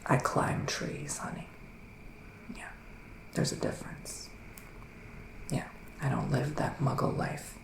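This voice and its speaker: Slow, soft-spoken male witch, low-key and creaky. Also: reverberant, whispered, low-quality recording. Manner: hesitant, drawn-out, mystical musing. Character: psychic male witch giving a mystical reading. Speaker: ASMR performer playing a psychic reader. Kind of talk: ASMR roleplay, soft-spoken with whispering.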